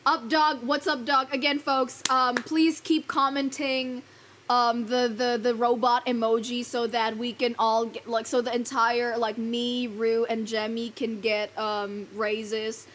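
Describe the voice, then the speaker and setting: A woman's voice: on the high side, level tone, measured pace, fairly bright tone, slight background noise. A woman streaming live, chatting loosely with her viewers, laughing often, swearing casually and fumbling her lines. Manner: giggly, rambling, swears casually. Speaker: virtual streamer.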